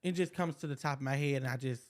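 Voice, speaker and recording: A man's voice: medium pitch, fast. A man in his mid twenties talking as a guest in a casual interview, blunt and rambling. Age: 20s. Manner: laid-back, blunt, rambling. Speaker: podcast guest.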